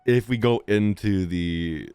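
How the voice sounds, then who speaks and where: A man's voice: lowish voice, slow, a little mellow, soft background music. A man giving casual gaming commentary, reacting aloud in a slangy, chatty way as he plays. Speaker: streamer playing and reacting to a game.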